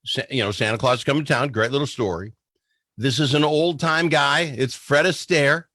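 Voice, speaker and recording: A man's voice: mid-range voice, normal speed, sharp tone, no echo, studio quality. A man hosting a radio show with casual banter about songs, blunt and argumentative in his opinions.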